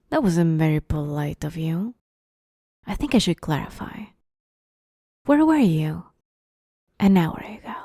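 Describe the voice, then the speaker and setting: A smug, playful vampire woman, acted by a woman for an ASMR roleplay, with a slightly low, dark and velvety voice. She teases and threatens in a slow drawl that often sinks into whispers, recorded close and dry. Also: dry recording, heavily acted. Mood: slyly menacing. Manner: teasing, drawling, often dropping to breathy whispers. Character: playful, smug, menacing female vampire interviewer. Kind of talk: ASMR roleplay, scripted monologue.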